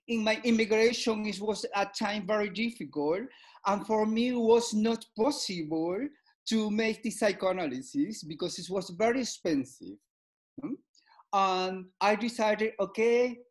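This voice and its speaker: Slow, halting man. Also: sits high. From Peru. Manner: halting, repeats words, non-native phrasing. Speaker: guest psychoanalyst. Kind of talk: interview answers.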